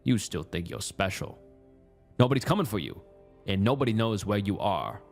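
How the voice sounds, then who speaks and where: A man voicing a masked cult leader in a horror story, with a deep Southern US accent, speaking in a level, almost bored, quietly malicious way. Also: very animated, normal speed, vocal fry. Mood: cold menace. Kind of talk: horror audio story dialogue. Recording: music under the voice.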